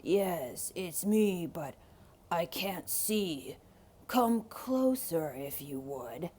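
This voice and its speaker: A woman's voice: fairly low pitch, expressive, drawn-out words, mellow, slightly rough, heavily acted. A woman voicing a greedy, sly male wolf in a children's storybook, coaxing and menacing with a growl. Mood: wheedling. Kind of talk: theatrical children's storybook read-aloud.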